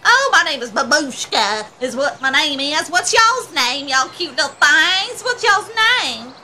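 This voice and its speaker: A dotty, folksy old lady, put on by a woman, high-voiced and drawn out. She sounds forgetful and muddled, with a lively swing in pitch and faint noise behind. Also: measured pace, exaggerated voice.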